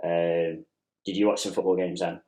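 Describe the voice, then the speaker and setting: Young man, deep and roomy. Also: normal speed. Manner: relaxed, laid-back questioning. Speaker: podcast host. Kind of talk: casual chat. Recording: roomy echo.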